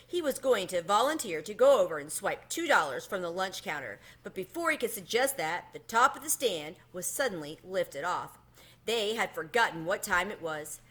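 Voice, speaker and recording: A woman reading a children's story aloud in an expressive narrating style. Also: mid-range voice. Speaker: storybook reader.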